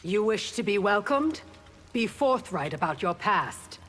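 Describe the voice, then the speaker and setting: A woman's voice: mid-range voice, very animated, unhurried, a bit bright, a little hiss or hum. An actress playing a proud, guarded female royal guard in a video game, formal, cool and clipped. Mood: cool, stern.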